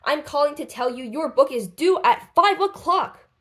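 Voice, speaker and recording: A stern, grumpy boss of a man from a children's storybook, as a woman reader puts him on. He is demanding and bossy, in a raised pitch with forceful swings. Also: lively intonation, normal speed. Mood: bossy, angry.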